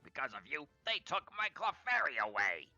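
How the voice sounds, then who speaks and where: A man's voice: fairly high pitch, wildly swooping pitch, brisk, sharp tone, no room sound, lo-fi sound, faint music behind, pitched above the performer's own voice, full character voice. A man voicing a bitter male villain-gang underling who holds a grudge, sneering as he reads game dialogue aloud.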